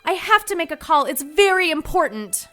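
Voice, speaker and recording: Casting: excitable, fearful young heroine, high swooping voice, radio drama, music behind. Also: female voice, normal speed, full character voice. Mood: alarmed. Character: frightened, excitable young woman in 1940s mystery. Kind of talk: dramatic radio narration and acting.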